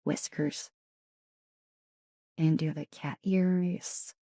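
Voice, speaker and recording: A woman streamer chatting casually with viewers in a soft ASMR style, her voice raspy and deeper than usual, often slipping into whispers. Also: steady tone, very slow, no echo, studio quality. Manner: chatty, greets and thanks viewers.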